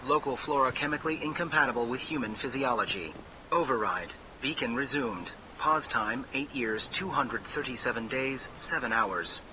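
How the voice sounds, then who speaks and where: An actor playing an emotionless automated beacon computer in a video game, reciting in a clipped, formal way. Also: male voice, medium pitch, moderate pace, noisy background, close to an everyday voice. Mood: impassive.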